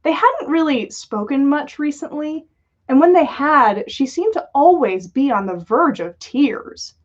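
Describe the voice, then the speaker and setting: A woman's voice: sits high, expressive, measured pace. A woman reading a story aloud as a livestream host, with lo-fi sound. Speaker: podcast host reading fan fiction aloud. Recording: dull lo-fi livestream audio.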